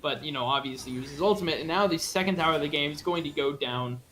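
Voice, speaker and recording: A man's voice: a little high, quick pace, fairly bright tone, a little hiss or hum. A man giving live play-by-play commentary on a video game match as an esports caster.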